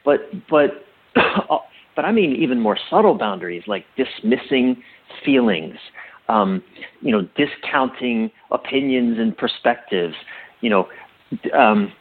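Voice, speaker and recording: A middle-aged man speaking reflectively as an interview guest, with a husky voice, often halting and correcting himself with emphasis. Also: mid-range voice, animated, narrow, tinny audio. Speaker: interview guest, relationship coach.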